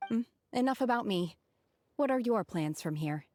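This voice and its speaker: An actress playing an aloof, blunt young woman from the mountains in a video game, speaking calmly and formally. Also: fairly high pitch, moderate pace, slight background noise, lightly acted. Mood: neutral. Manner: calm, formal, blunt, sighing. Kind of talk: anime-style video game voice acting.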